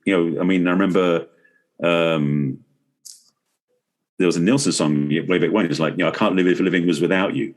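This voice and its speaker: Deep, husky, quick-talking middle-aged man. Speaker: podcast guest, relationship expert and author. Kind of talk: conversational interview explanation.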